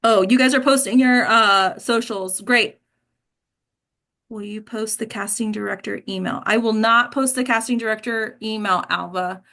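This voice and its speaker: Higher-pitched American woman coaching actors. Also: normal speed, bright, forceful tone. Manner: direct, emphatic, checks in with "right?" and "okay". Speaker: host and acting coach leading an online discussion. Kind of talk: casual coaching, question-and-answer advice.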